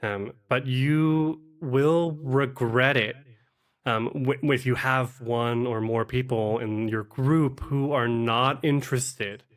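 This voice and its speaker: A man talking casually as a podcast guest, conversational, sometimes voicing imagined remarks as he explains. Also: medium pitch. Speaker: podcast guest, actual play game master and producer. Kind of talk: casual interview chat giving advice.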